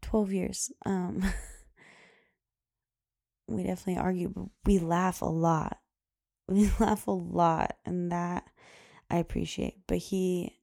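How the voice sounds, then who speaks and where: A woman in her thirties chatting casually on her own podcast, rambling with lots of fillers, laughing often, with a loud voice. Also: lowish voice, steady tone, takes it slowly, vocal fry, pauses often, no room sound, clean studio sound. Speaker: podcast host. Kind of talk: casual solo podcast chat.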